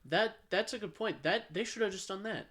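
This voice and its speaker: A man's voice: high voice, moderate pace, pushed and bright. A man co-hosting a podcast in casual chat, giving opinions informally and repeating himself for emphasis. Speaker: podcast co-host.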